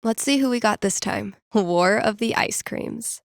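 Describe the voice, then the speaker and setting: A woman's voice: medium pitch, measured pace, vocal fry, no room sound. A woman voicing a wry, laid-back young DJ in a video game, talking casually to herself with dry sarcasm. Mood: dry, amused. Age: young adult. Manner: dry, sardonic, conversational.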